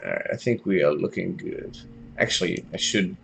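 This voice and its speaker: Deep gravelly Australian man streaming. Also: animated, normal speed, a bit bright, slight background noise. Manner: thinks aloud, chats with viewers, yelps when startled. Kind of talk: casual live gameplay commentary.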